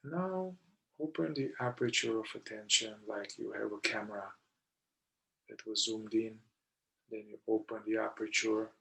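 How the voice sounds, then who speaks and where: A man leading a guided meditation in short, deliberate phrases with long pauses between them. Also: lowish voice, creaky, sharp tone, rough recording. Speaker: meditation guide, somatic therapist.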